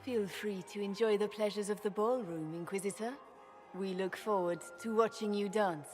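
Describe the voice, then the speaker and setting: Regal woman acting as empress, mid-pitched. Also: moderate pace, darkish tone, faint music behind, natural voice. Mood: gracious, regal. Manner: stately, courtly. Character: poised, gracious empress, formal and regal.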